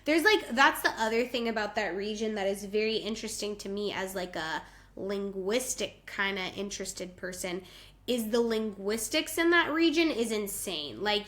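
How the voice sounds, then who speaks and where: A woman's voice: mid-range voice, a bit bright. A woman from the United States co-hosting a podcast, chatting casually and often saying "like". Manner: chatty, peppered with "like". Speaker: podcast co-host. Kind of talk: casual chat.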